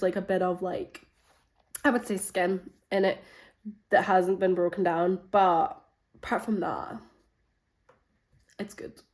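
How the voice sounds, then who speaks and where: A woman's voice: on the low side, darkish tone. A woman vlogging at home in a casual, rambling way, with a stuffy cold. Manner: chatty, rambling with many fillers. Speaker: book vlogger. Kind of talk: casual vlog chat. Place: home kitchen. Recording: echoey room, mic at a distance.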